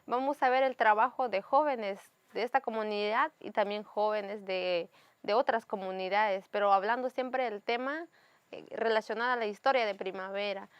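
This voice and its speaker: A woman's voice: medium pitch, fairly even intonation, moderate pace, smooth, no echo, muffled recording. A woman presenting a community TV program, welcoming and slightly stumbling. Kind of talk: live community TV hosting.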